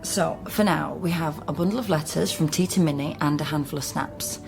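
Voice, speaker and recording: An actress playing a wry, solitary British genealogist in a naturalistic radio drama, with a dry, matter-of-fact way of talking. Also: female voice, low voice, a little fast, vocal fry. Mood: wry, businesslike. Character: wry, solitary female genealogist, brisk and self-mocking. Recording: music under studio radio drama.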